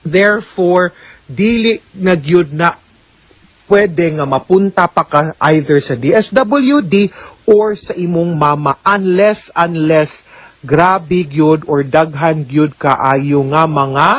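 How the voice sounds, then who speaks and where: A woman's voice: low-pitched, slow, dry recording, lo-fi sound. A woman hosting a radio legal advice show, answering listeners' letters in a firm, explanatory way and slipping in English phrases. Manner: mixes in English words and phrases.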